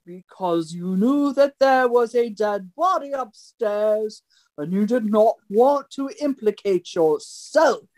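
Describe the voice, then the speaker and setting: A woman's voice: medium pitch, animated, slow, a little mellow. A woman playing an imperious, theatrical great detective with a pompous, declamatory delivery in an old-time radio mystery parody. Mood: confident, deductive.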